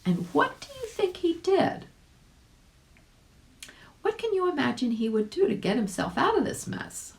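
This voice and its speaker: A woman reading a children's story aloud in an expressive, animated way, addressing young listeners directly. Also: sits high, measured pace, creaky, long pauses. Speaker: volunteer audiobook reader.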